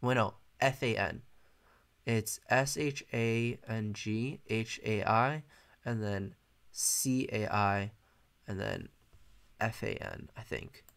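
Young American chess streamer with Mandarin as his first language; relaxed live commentary, talks through moves and chats with viewers. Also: fairly low pitch, takes it slowly, vocal fry, clear, resonant tone. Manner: thinks aloud, chatty, self-correcting. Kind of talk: casual live commentary while playing. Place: bedroom at home, indoors.

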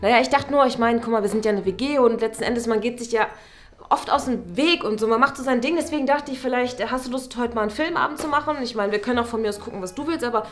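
A young woman playing a casual, slightly awkward flatmate who talks in a chatty, hedging way. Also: on the high side, fast talker, close to an everyday voice. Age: young adult. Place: indoor flat.

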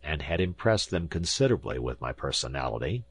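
A man performs an arrogant professor from an audiobook with an extremely deep, husky voice. He lectures pompously and looks down on whoever listens. The sound is dry and close. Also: very animated, brisk, no room sound, exaggerated voice. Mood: self-important recounting. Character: arrogant, booming, pompous male professor, scornful and imperious. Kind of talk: audiobook character acting.

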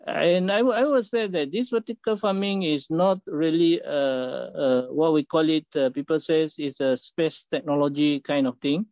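A middle-aged man from Malaysia, a company executive, talking haltingly with many filler sounds in a remote interview over a video call. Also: on the high side, a little mellow, no echo. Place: indoor office. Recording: muffled dry video call audio.

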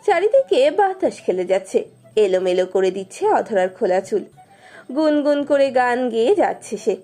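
A woman's voice: a little high, very animated, normal speed. A woman narrating a romance story expressively from a script. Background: soft music.

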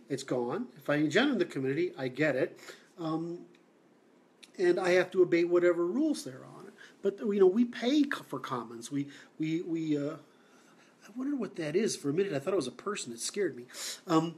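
A man from Seattle hosting a livestream, answering comments in a halting, blunt way with many fillers and restarts. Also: a little high, darkish tone. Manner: blunt, opinionated. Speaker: livestream host.

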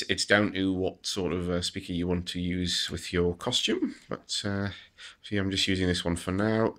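A man giving a casual, halting demonstration of a homemade gadget. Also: sits low, pushed and bright. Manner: hesitant, halting explanation. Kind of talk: casual unscripted product demonstration. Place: indoors at a wooden table.

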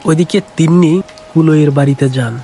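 A man narrating a Bengali village cartoon story in a plain, steady storytelling voice. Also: fairly high pitch, fairly quick, slight background noise. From Bangladesh.

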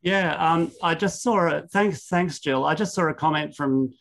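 A man with an Australian accent answering questions on a video call, relaxed, with loose fillers. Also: on the high side, normal speed. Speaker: presenter.

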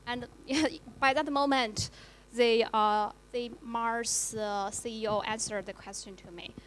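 A woman journalist whose first language is Chinese, speaking haltingly with many fillers on a panel in a lecture hall with a handheld mic. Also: a little high, fairly even intonation, a little hiss or hum. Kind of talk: panel discussion.

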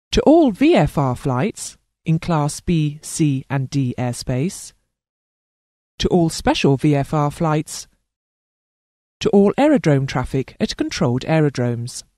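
A training course narrator reading scripted instructional material in a formal, measured way, enunciating lists carefully. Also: medium pitch, warm tone, no room sound, clean studio sound.

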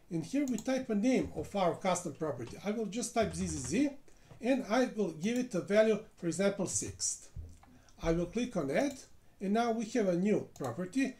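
Lively-pitched man narrating a software walkthrough. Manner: step-by-step narration with frequent "uh" fillers. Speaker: software tutorial presenter. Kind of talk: screen-recorded software tutorial.

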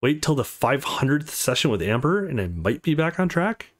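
A man voicing an intense, protective young man in a visual novel, earnest and forceful. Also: a little high, sing-song, moderate pace. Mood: frustrated.

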